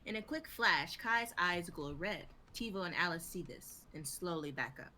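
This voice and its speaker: A woman's voice: fairly low pitch, expressive, normal speed, pushed and bright, slight background noise. A young woman reading stage directions as scripted narration in a live drama reading. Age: young adult.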